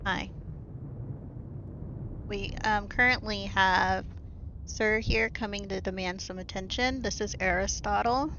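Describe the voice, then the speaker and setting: A woman streaming from home talks into her desk mic, reading game narration and chatting with viewers in a loose way, with plenty of "like" and "um." She speaks slowly in a slightly low voice. Her stream audio sounds a bit muffled, with a faint hiss underneath. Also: steady tone. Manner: casual, soft-spoken, full of "like" and "um" fillers. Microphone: desk microphone.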